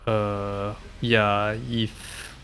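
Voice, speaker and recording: A man with a nasal, congested voice from a recent illness, giving halting, casual commentary on a game live stream. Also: on the low side, flat delivery, a bit bright. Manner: halting, hesitant, often trailing off. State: congested, recovering from being sick.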